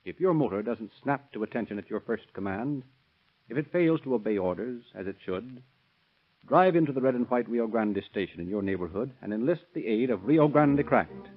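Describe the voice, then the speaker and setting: A man's voice: mid-range voice, lively intonation, normal speed. A man reading scripted sponsor copy as a 1930s radio announcer, formal and declamatory, on a tinny old recording.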